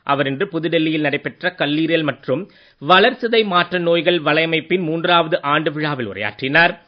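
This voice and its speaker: A man reads a formal scripted radio news bulletin in a measured manner. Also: a little high. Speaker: news reader. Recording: dull lo-fi radio sound.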